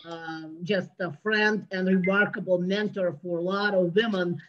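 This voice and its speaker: Lady hosting a video call meeting, lots of uh uh, quiet, muffled lo-fi audio. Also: fairly low pitch, steady tone. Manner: halting, many filler sounds, soft-spoken. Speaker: meeting host introducing board members. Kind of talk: online meeting introductions.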